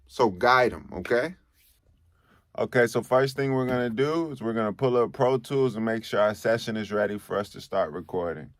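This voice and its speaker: Male studio engineer, deep bassy voice, laid-back teaching tone, dry close sound. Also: no echo. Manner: relaxed, conversational, frequent "okay?" check-ins. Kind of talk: casual tutorial.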